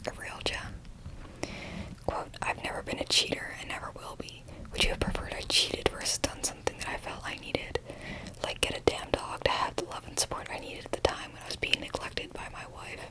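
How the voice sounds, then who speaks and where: A woman's voice: a little fast, rough recording. A woman whispering close to the mic as she reads posts aloud, with wry asides in between. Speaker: ASMR reader. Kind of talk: close-up whispered ASMR reading.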